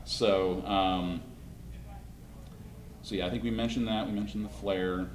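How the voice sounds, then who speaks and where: A man presenting a live costume-making demonstration at a convention panel, talking in a halting way with many restarts, his voice slightly hoarse. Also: fairly low pitch, fairly even intonation, a little hiss or hum. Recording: echoey room, mic far off.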